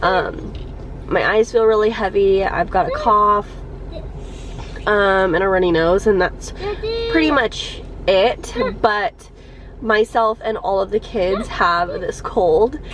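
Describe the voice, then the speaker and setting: A young woman talks to her camera from a parked car, her voice stuffed up from a cold. She sits at a middling pitch and rambles in a friendly way, full of ums and likes and small laughs. Faint traffic hum sits behind her. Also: slow, low-quality recording. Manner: chatty, many ums and likes, laughs a little. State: congested from a cold. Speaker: vlogger. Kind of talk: casual chat to camera.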